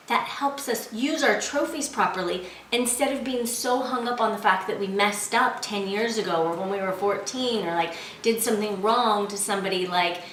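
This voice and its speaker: A woman's voice: mid-range voice, fairly bright tone. A woman hosting a motivational vlog, talking casually and emphatically to viewers with frequent conversational check-ins. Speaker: host of a self-help motivational vlog. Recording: echoey, noisy room, distant mic.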